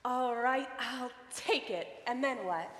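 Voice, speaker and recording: A woman's voice: fairly high pitch, slow pace, fairly bright tone. A woman playing a sweet, coy young small-town sweetheart in a 1940s radio play. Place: large church stage before an audience. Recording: echoey hall, some background noise.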